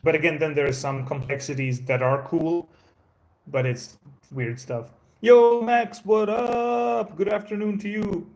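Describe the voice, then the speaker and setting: A man's voice: on the high side, fairly even intonation, a little slow, a little mellow. A Croatian man, a native Croatian speaker with an American-sounding English accent, chatting casually with viewers on his live stream in a rambling, laid-back way, with many fillers and restarts. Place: indoors at a home.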